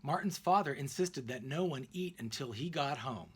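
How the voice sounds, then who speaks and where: A man reading a children's picture book aloud expressively, in deliberate phrases with emphatic pauses. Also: mid-range voice, animated, slightly rough. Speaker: read-aloud narrator.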